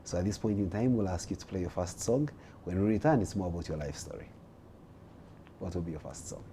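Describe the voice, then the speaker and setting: A male talk show host asking lively, probing questions in a playful, theatrical manner. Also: deep voice, a little fast, slight background noise.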